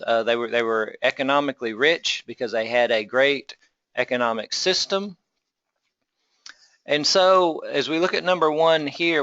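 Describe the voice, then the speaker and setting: A man's voice: mid-range voice, a bit bright, clear, resonant tone, dry recording, highs cut off. A man teaching a class in an informal, conversational lecture style, explaining things and posing rhetorical questions. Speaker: teacher of a Bible survey class.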